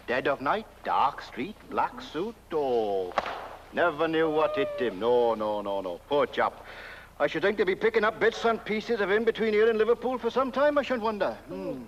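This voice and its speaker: An actor playing a chatty, self-important English village constable in a comic film, rambling and hesitant, with a British accent. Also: male voice, fairly high pitch, big pitch swings, normal speed. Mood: pompous, matter-of-fact. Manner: rambling, pompous, hesitant with repeated phrases. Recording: dull, slightly noisy old film soundtrack.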